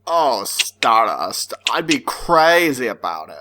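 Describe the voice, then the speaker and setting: A man voicing a swooning young woman from an old comic, slow and theatrical. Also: mid-range voice, sing-song, bright, forceful tone, exaggerated voice.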